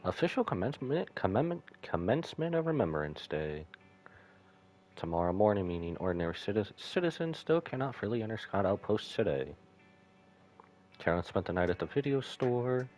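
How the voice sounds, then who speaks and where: A man with a fairly deep voice is streaming a game. He moves between reading the on-screen dialogue and offhand commentary of his own. Guitar music plays clearly underneath. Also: big pitch swings, fairly quick, a little mellow. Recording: music behind the voice.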